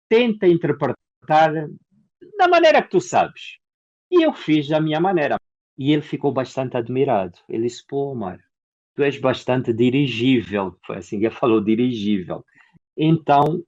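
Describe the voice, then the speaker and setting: A man from Angola with an Angolan Portuguese accent, an actor and playwright answering interview questions in a lively storytelling way. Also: medium pitch, moderate pace, lo-fi sound. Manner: animated storyteller, acts out quoted dialogue.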